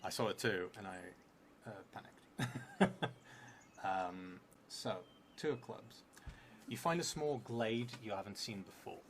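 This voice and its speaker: Man in his thirties, lowish voice, solo tabletop game narration, musing to himself, noisy stream audio. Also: measured pace. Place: indoor streaming setup in a theatre venue.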